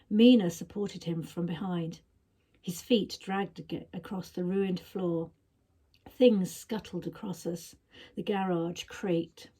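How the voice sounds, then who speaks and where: A woman narrating a story expressively, with dramatic, measured phrasing that is often hushed. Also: fairly low pitch, breathy edge. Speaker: story reader. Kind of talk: expressive audiobook-style narration.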